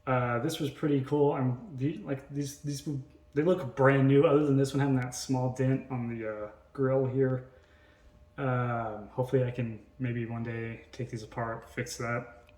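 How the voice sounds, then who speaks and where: A man's voice: mid-range voice, steady tone, unhurried, darkish tone, reverberant. A man casually showing vintage electronics to viewers as a video host, talking informally and unscripted. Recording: faint noise, clicks of handled gear.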